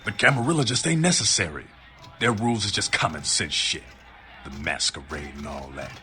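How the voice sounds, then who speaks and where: An actor playing a blunt, wary, streetwise vampire war veteran in a video game, talking in gruff street slang. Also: male voice, low-pitched, expressive, fairly quick, sharp tone, full character voice. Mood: blunt, earnest. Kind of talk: video game character dialogue. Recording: game music behind the voice.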